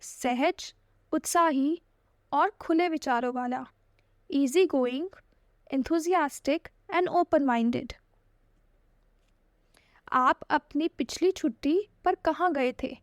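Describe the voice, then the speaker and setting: Very slow, high-voiced woman teacher. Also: no room sound, clean studio sound. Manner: very slow, deliberate English, quicker Hindi. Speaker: language teacher. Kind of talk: slow drill reading of phrase pairs.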